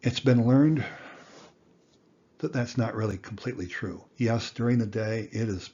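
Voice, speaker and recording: Older man, low husky voice, teaching. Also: normal speed, mellow, dull audio. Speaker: instructor. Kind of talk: educational lecture with plain explanations.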